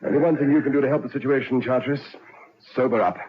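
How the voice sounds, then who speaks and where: An actor playing a stern, commanding prison governor in a radio drama, brisk and authoritative like a military major. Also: male voice, medium pitch, loud music behind the voice, natural voice. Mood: firm, interrogating. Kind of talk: old radio drama acting. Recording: dull, noisy lo-fi vintage radio recording.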